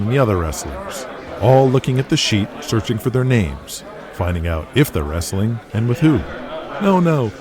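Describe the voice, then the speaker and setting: A man narrating an audio drama from a script in a dramatic style. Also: low voice.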